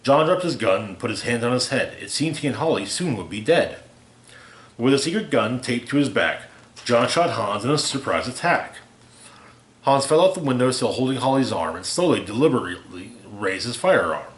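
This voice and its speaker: A man's voice: mid-range voice, lively intonation, moderate pace. Echoey man reading a story aloud. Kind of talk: expressive read-aloud of a rhyming holiday parody. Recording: roomy, echoey home recording.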